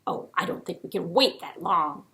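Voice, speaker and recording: A woman voicing a quick, eager, forgetful hare in a puppet-show folktale, with a light, bouncy delivery. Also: fairly low pitch, lively intonation, pushed and bright. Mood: doubtful.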